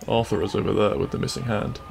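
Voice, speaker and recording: Man playing kind-hearted bandit prince, audio roleplay, heavy vocal fry, teasing, flirty, reassuring, music behind. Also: on the low side, fast talker, darkish tone. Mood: earnest. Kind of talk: intimate audio roleplay acting.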